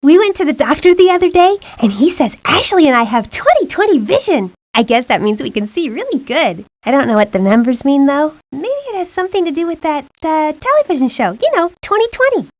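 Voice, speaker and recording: A woman's voice: sits high, sing-song, a little fast, hardly any pauses, dry recording. A woman voicing a chatty, sporty young girl in a video game, earnest and guileless. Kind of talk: video game character monologue. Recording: dry, lo-fi 1990s game audio.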